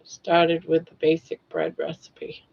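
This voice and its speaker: A woman's voice: low voice, sing-song, slow, a little mellow, muffled recording. A woman in her sixties hosting a casual live stream, chatting and joking and talking sweetly to her pets. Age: 60s. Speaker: livestream host.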